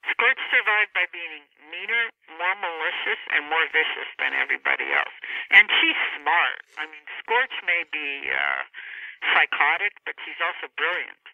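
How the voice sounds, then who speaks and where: A woman speaking as an author guest in an unscripted interview, halting and often rephrasing mid-sentence. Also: sits low, very animated, gravelly, no room sound. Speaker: author guest discussing own novel. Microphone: telephone. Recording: tinny, narrow phone line.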